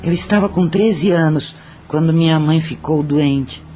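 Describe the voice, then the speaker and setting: A man's voice: a little high, measured pace, warm tone, close to an everyday voice. A man playing a murdered brothel madam who unburdens herself reflectively in an audio drama. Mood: burdened, reflective. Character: murdered brothel madam with intuitions, burdened, confessional. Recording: music under the voice.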